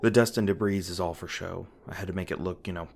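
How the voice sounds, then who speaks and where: A man playing a weary, guarded monster hunter in an audio roleplay, gruff but caring, with halting, sardonic, sweary speech. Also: low voice, steady tone, a little mellow. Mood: wry, casual. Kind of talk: audio roleplay, one-sided dialogue to the listener. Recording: noisy ambient sound effects behind voice. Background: ambient sound effects.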